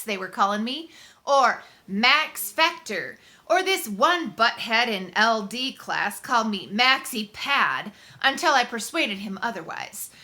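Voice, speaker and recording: A woman reading a story aloud in the first person, conversational and expressive, leaning on key words for dramatic emphasis. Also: high voice. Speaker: audiobook reader.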